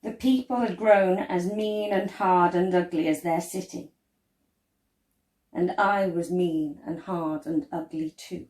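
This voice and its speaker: Slow, deliberate woman storyteller in an echoey room. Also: lowish voice, steady tone, darkish tone. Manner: measured, deliberate, with dramatic emphasis and pauses. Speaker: storyteller reading a picture book. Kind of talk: expressive dramatic story reading. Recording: roomy, echoey indoor sound.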